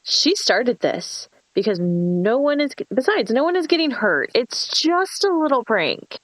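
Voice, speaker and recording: A woman's voice: fairly high pitch, sing-song, measured pace, poor audio. A woman playing a bossy, sarcastic young woman scheming a prank in a screenplay table read. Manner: sarcastic, foul-mouthed, commanding.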